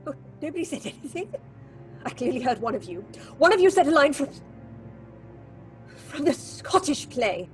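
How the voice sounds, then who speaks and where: A woman playing a highly strung, superstitious theatre director in an audio horror drama, imperious and flustered. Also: sing-song, normal speed, long pauses, faint music behind. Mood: agitated, accusing.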